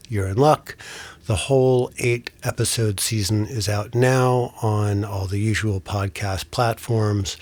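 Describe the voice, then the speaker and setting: A man introducing a show as a casual podcast host. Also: on the low side.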